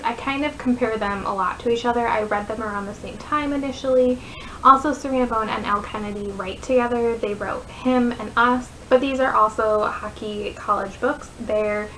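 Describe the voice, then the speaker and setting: Higher-voiced young American woman, noisy home recording. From northeastern United States. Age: 20s. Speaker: book vlogger. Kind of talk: casual chatty book recommendations to camera.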